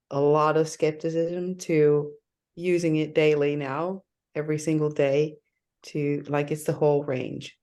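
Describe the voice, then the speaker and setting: Woman, very low pitch, mellow, unhurried, loose phrasing. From Finland. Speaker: coach. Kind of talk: guest introducing herself.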